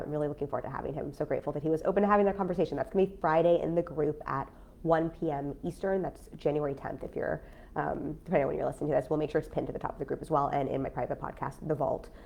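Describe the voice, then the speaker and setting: A business coach with a cold, talking casually on a live stream in a nasal, stuffy voice with lots of fillers. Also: female voice, deep voice, creaky, dark tone. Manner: chatty, frank, many fillers. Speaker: business coach and podcast host.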